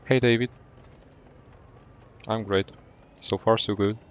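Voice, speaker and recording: A man with a Polish accent and a very low voice comments on his game and answers his viewers. He talks in a relaxed way and stops to think now and then. The sound is a little dull, with faint noise behind him. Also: slow. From Poland. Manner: relaxed, thinking aloud with fillers. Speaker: streamer. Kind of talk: gameplay commentary and chat replies.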